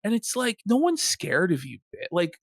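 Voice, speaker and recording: A man's voice: sits high, very animated, normal speed, no echo, studio quality. A man co-hosting a show with casual, scornful reaction commentary, swearing freely. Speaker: show co-host.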